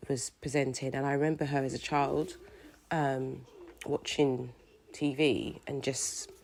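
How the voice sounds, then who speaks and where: Middle-aged interviewee, measured, reflective delivery. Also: mid-range voice, a little mellow. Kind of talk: reflective personal account.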